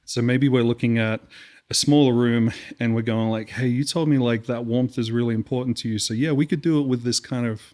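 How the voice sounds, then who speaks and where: A man's voice: medium pitch, fairly even intonation, fairly quick, darkish tone. A man co-hosting a podcast, explaining technical audio design in a conversational way and often checking in with "right?". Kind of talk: casual technical podcast talk.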